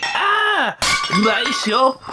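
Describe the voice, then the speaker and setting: A man's voice: very high voice, big pitch swings, extremely slow, heavy background noise, full character voice. An actor playing a doddery, flustered old male antiques dealer in a comedy radio sketch. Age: older. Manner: flustered, protesting.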